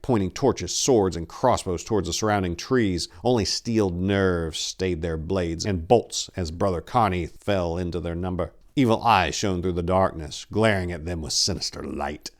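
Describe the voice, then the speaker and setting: A man narrating in the style of a dramatic story reading, with a theatrical, measured delivery. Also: low voice.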